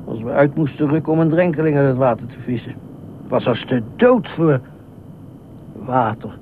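An actor in a Dutch radio play as an anxious, obsessive widowed ex-fireman who speaks haltingly, repeats himself and nitpicks. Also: expressive, natural voice. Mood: earnest, rambling. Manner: halting, repetitive, pedantic, fretful. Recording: muffled narrow-band old radio recording, faint rumble.

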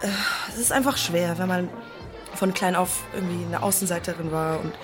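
A woman acting an emotional, evasive young woman in naturalistic audio drama, speaking in a halting, colloquial way. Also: on the low side, music in the background, natural voice. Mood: uneasy, defensive. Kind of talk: naturalistic dialogue in a fiction podcast.

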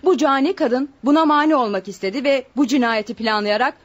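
Accusing prosecutor, high female voice, formal scornful declamation, radio drama, dull lo-fi sound. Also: fairly quick. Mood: scornful accusation.

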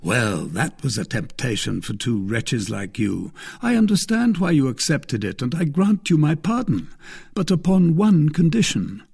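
Guy doing a bold young swordsman, bossy and stern, really animated, bit husky. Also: mid-range voice, unhurried, exaggerated voice. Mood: amused, haughty. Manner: imperious, stern. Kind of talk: dramatised audiobook character voice.

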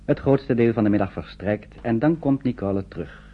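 An actor serving as narrator of a wartime Dutch radio play, reading scripted narration in a measured manner. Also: male voice, medium pitch, slightly rough, lightly acted. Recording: dull, slightly noisy old radio recording.

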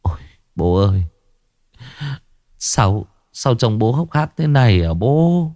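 A man's voice: on the low side, sing-song, slow, dark tone, no room sound, studio quality. A man voicing a grown son who whimpers in grief over his father. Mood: tearful. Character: grieving grown son, tearful.

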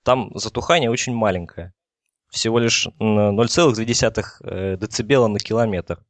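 A man's voice: lowish voice, moderate pace, dry recording. A man explaining technical material in a casual podcast discussion, hesitant and thinking aloud as he goes. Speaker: technical expert explaining telecom topics.

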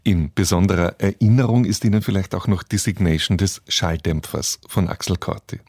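A man with a very low voice presents on the radio in a scripted, announcer's style. The sound is dry and close, with no room around it. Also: fairly quick, darkish tone, slightly rough, no echo.

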